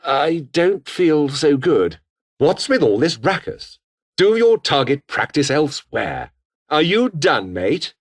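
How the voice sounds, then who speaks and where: Game role: pompous, scheming British officer, coldly ambitious, with widely swinging intonation. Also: male voice, on the high side, big pitch swings, slow pace, full character voice. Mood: combative, urgent. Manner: pompous, formal, disdainful. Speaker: video game voice actor. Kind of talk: scripted game character dialogue.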